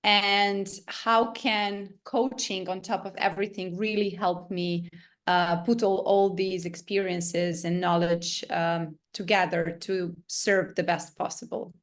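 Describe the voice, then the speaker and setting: A woman's voice: on the low side, level tone, slow. A woman in her thirties from Serbia, answering interview questions with frequent hesitations and fillers. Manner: hesitant, many ums. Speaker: guest coach and trainer.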